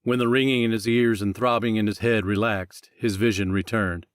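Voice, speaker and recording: Low-pitched man narrating an audiobook, dry sound. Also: fairly even intonation, normal speed, no room sound. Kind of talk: scripted fiction narration.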